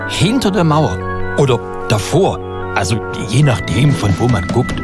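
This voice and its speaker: Male comedian, 50s, from Dresden, deadpan mockumentary delivery, clear music bed. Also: mid-range voice, measured pace. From Dresden, eastern Germany. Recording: film trailer clip with music.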